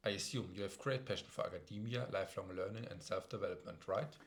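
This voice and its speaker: A man's voice: low-pitched, quick pace. A young man hosting a student investment podcast, reading out prepared questions and introductions. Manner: reads prepared questions, brief backchannels. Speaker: podcast interviewer. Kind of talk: podcast interview.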